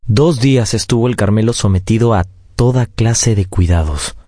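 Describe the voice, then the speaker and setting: An actor narrating a radio drama as a grown man recounting his childhood, in a measured, solemn and tender way. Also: on the low side, dark tone, no room sound, studio quality, lightly acted. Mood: solemn, wistful.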